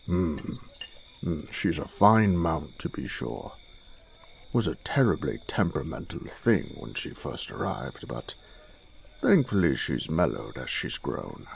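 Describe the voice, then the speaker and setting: A man playing an older fatherly lord in a fantasy audio drama, genial and courtly in a measured way. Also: very deep voice, big pitch swings, creaky, a little hiss or hum, heavily acted. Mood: proud, genial.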